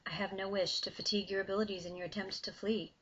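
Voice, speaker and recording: A woman voicing a cold, contemptuous male demon who speaks low, quiet and formally in a dull lo-fi recording. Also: level tone, quick pace, slightly rough.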